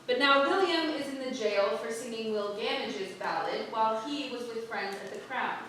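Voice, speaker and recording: Actress as blunt working wife, echoey hall. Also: female voice, a little high, moderate pace, fairly bright tone, lightly acted. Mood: worried. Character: Mary, a blunt, aggrieved working wife of an early modern town. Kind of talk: staged reading of a period stage play. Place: playhouse stage. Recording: echoey theatre from far off, faint noise.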